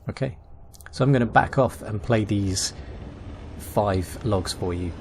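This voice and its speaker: Low-voiced man narrating a gaming guide. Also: unhurried, darkish tone, a little hiss or hum. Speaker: gaming video host. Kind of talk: casual game guide commentary.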